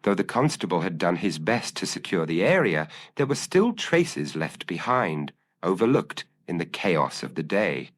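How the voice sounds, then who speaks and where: A man narrating a gothic detective story in a measured, formal manner. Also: low voice, sing-song, no echo. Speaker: audiobook narrator.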